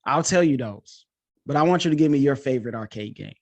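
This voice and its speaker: Guy hosting a podcast, regular pitch, chatty and into it, informal. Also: lively intonation, quick pace, dull audio. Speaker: podcast host; coach and teacher. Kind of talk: casual podcast chat.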